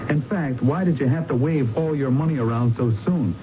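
A muffled old radio drama with heavy background noise. A man plays a young, crooked investigator with a mid-pitched voice, blunt and slangy, cynical and impatient. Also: normal speed, dark tone, natural voice. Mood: exasperated, scolding.

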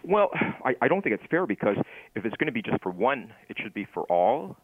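A man's voice: mid-range voice, very animated, brisk, breathy edge, no echo. A man giving his opinions casually as a caller on a phone line. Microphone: telephone. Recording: dull, narrow phone line.

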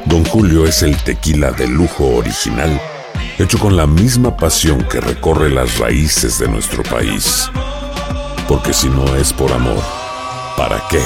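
A man narrating a scripted liquor ad slowly and solemnly. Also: rumbling low, expressive, creaky, music in the background.

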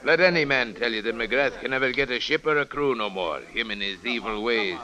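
An actor playing a crafty old waterfront dice-game runner with folksy, sailor-like phrasing in an old radio drama. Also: male voice, medium pitch, brisk, sharp tone, muffled recording, close to an everyday voice. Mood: sly, gruff. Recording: vintage radio recording with background crowd.

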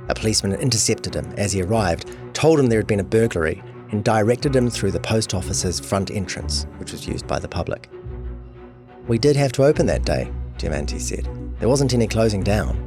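A man reading scripted documentary narration for a true crime podcast. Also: sits low.

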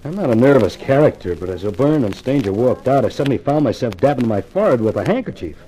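A man's voice: medium pitch, very animated, quick pace, dark tone, slight background noise, lightly acted, poor audio. An actor playing a cocky, wisecracking male columnist in a 1950s radio detective drama, glib and wry. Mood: wry narration. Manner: glib, wry, self-assured.

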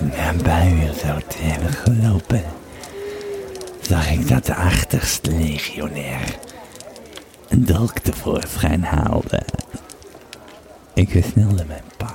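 A man playing a weary, troubled Roman legionary who tells his tale haltingly in an audio drama. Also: extremely low pitch, full character voice. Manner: halting, repeating words, hushed at times. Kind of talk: audio drama monologue and narration.

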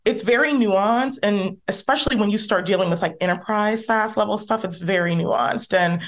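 A woman guest on an interview podcast speaks in a mid-range voice. She tells her story in a lively, emphatic way, keeping a relaxed, conversational tone. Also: medium pitch, normal speed. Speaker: podcast guest, content strategist.

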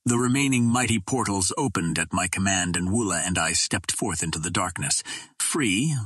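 A man reading a first-person adventure novel as an audiobook narrator, with measured, literary storytelling delivery. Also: low-pitched, a bit bright, slightly rough, no echo.

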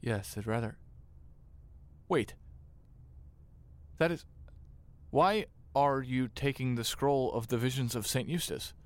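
An actor playing a flustered, pious small-town reverend in an audio drama, protesting in halting, formal speech. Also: male voice, mid-range voice, no echo, close to an everyday voice. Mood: alarmed, indignant. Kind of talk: audio drama acting, period dialogue.

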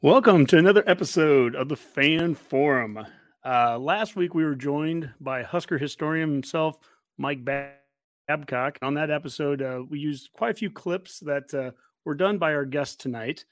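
A man's voice: on the high side, measured pace, dry recording. A man with an American accent hosting a podcast in a casual, conversational way. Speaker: podcast host.

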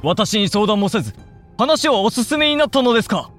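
An actor playing a dutiful but resentful young samurai in a period audio drama, with formal, emotional speech. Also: male voice, high-pitched, sing-song, normal speed, a bit bright, soft background music. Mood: shocked protest.

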